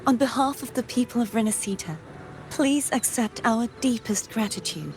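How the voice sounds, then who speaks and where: A woman's voice: a little high, expressive, moderate pace, a little mellow, a little hiss or hum. Gracious, earnest young noblewoman. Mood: solemn gratitude. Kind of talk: video game voice acting.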